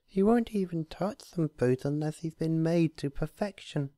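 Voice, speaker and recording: A man voicing a gentle, apologetic young female pony in a storybook reading, hesitant, stammering and soft-spoken. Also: a little high, moderate pace, no room sound, studio quality, pitched above the performer's own voice, natural voice. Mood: timid, apologetic. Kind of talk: storybook character voice.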